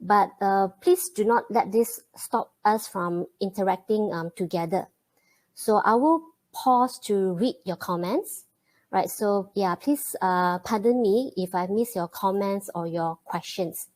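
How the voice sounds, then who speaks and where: A woman's voice: medium pitch, darkish tone. Woman hosting an online webinar. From Malaysia. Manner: friendly, many fillers and pauses. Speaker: webinar host and programming teacher. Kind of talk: live online webinar greeting and intro.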